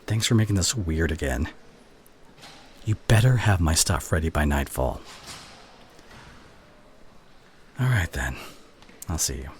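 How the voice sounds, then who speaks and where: A man playing an exasperated, teasing college student in an ASMR roleplay, with a halting, sighing, sarcastic delivery. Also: rumbling low, lively intonation. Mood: exasperated, fondly teasing. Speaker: audio roleplay voice actor. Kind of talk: ASMR roleplay acting, one side of a conversation. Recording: clear background ambience noise.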